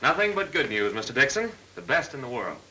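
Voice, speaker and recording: Smooth pushy car salesman in an old training film, very sharp forceful voice, faint hiss. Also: mid-range voice, big pitch swings, a little fast, poor audio. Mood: persuasive. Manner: persuasive, polite, methodical sales patter. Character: smooth, persistent car salesman closing a deal. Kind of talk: mid-century training film acting.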